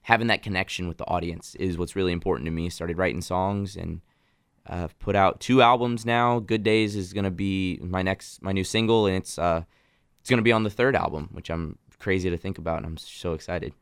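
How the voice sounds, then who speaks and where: Young Southern-Midwestern man, smooth low voice. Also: fairly quick, dry recording, studio quality. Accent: mix of Southern and Midwestern American. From Nashville, Tennessee. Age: young adult. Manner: friendly, animated storytelling with restarts. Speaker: in-studio guest. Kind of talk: casual radio interview chat. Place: radio studio.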